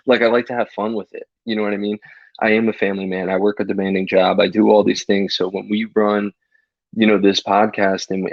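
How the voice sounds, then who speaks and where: A man's voice: fairly low pitch, dry recording, muffled recording. A man co-hosting a casual sports podcast, talking eagerly with frequent stammered restarts. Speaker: podcast co-host.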